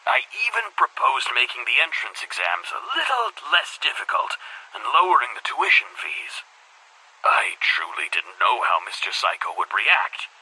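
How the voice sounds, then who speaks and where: An actor playing a weary, embittered middle-aged school headmaster in a video game monologue, speaking in a formal, measured, brooding way with a husky voice. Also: male voice, wildly swooping pitch, bright, forceful tone, heavily acted, rough recording. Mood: earnest. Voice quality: husky, breathy low voice.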